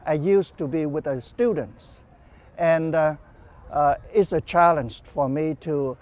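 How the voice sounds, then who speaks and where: A pastor whose mother tongue is Vietnamese talks about his parish in a documentary interview. His speech is halting and full of pauses, and his voice sits fairly high for a man. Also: darkish tone. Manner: halting, frequent fillers.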